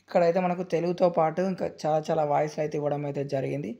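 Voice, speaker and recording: A man hosting a gaming channel, explaining a game tutorial in a rapid, repetitive, filler-heavy way. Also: on the high side, fairly even intonation, vocal fry, warm tone, highs cut off. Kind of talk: screen-recorded game tutorial.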